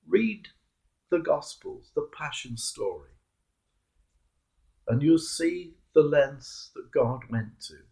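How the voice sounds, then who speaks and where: A British man giving a reflective sermon, telling stories and posing earnest, measured questions to his listeners. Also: a little high, darkish tone. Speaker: clergyman giving a Holy Week reflection.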